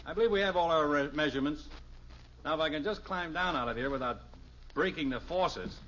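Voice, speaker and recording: A man's voice: a little high, a little fast, a bit bright, natural voice. An actor playing a blustery but easygoing middle-aged father in a 1950s radio sitcom. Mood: breezy. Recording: muffled, hissy old radio recording.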